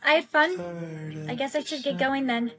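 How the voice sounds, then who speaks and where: A woman's voice: high-pitched, very animated, measured pace. A woman playing a lovelorn young woman in a romantic skit, conversational and restrained. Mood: polite. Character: young woman in a secretly hurting casual romance. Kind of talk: short romantic skit acting.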